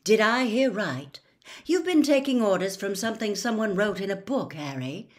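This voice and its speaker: A woman's voice: medium pitch, expressive, normal speed, lightly acted. A woman voicing an alarmed, angry younger teenage girl in a storybook, confronting and accusing.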